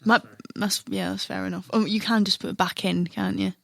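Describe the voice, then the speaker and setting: A woman's voice: mid-range voice, fairly even intonation, fairly quick, creaky, no echo, clean studio sound. A woman improvising in character as a player in a tabletop role-playing game.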